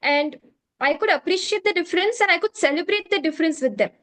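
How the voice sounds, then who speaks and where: Woman, Indian accent, native Hindi speaker, high voice, earnest, repeats her points, interview guest. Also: animated, fast talker, a bit bright. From South India. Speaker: curriculum developer, interview guest. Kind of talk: explanatory interview answers.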